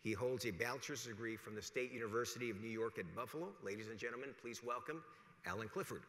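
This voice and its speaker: A man's voice: medium pitch, quick pace, very noisy. Formal American male host in echoey hall. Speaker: conference host introducing a speaker. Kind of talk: formal scripted introduction. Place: large conference hall, podium.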